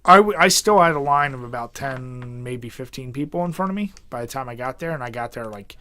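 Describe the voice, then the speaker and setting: A man co-hosting a podcast in casual banter about games, blunt, teasing and quick to swear. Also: medium pitch, expressive, bright, forceful tone. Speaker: podcast co-host.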